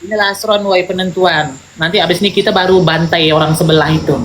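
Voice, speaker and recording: A woman hosting a livestream chats and teases her guest, often rushing into quick bursts of talk. Her voice sits fairly low for a woman. Clear noise runs under everything she says. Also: fairly even intonation. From Malay background. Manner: teasing, chatty, rapid bursts. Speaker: livestream host. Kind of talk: casual teasing chat on a livestream battle. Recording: noisy livestream audio.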